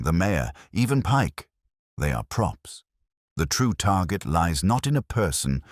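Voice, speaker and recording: A man voicing a shrewd, softly spoken police inspector in a mystery story, deliberate and given to cryptic, philosophical remarks. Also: deep voice, no echo, studio quality, exaggerated voice. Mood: grave, deliberate. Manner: murmuring, deliberate, aphoristic.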